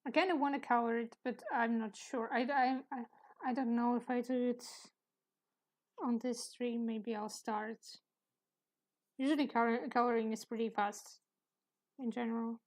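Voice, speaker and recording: A woman with a soft, sleepy voice, chatting hesitantly while she draws on a live stream. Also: on the high side, steady tone. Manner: hesitant, halting, with small laughs. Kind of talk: casual chat while sketching.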